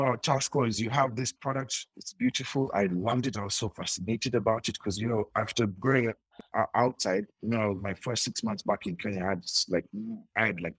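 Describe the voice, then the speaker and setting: A man's voice: mid-range voice, brisk, slightly rough, no room sound, poor audio. A young man talking as an interview guest, telling his story in a rambling way with frequent restarts. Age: young adult. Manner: rambling with many restarts and laughs.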